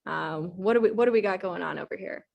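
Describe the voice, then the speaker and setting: A woman moderating a video call, introducing speakers with brisk, upbeat hand-offs. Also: mid-range voice, vocal fry, muffled recording.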